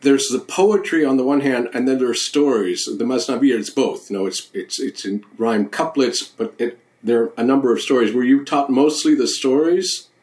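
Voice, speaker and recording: A man hosting a conversational interview, thoughtful and halting, with frequent restarts. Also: mid-range voice, a little mellow. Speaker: host.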